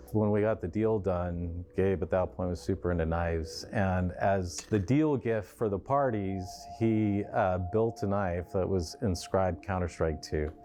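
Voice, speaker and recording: This is a man with a very deep, mellow voice, a studio executive remembering old deals for a documentary. He talks casually and conversationally. He drops in quoted remarks as he goes. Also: a little hiss or hum. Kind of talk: documentary interview recollection.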